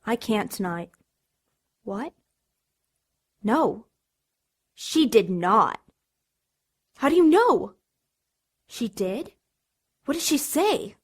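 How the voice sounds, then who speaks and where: A sarcastic, lovesick teenage girl from an audio drama. Her voice is high and swings widely, with theatrical flair as she needles everyone around her. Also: very animated, extremely slow, long pauses, slightly rough, full character voice. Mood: gossipy.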